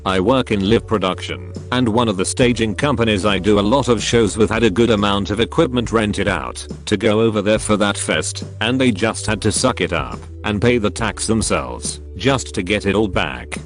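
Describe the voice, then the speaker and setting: A man narrating a compilation of reader stories in a dry, flat-toned reading. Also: lowish voice, normal speed, few pauses, faint music behind. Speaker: narrator reading online posts.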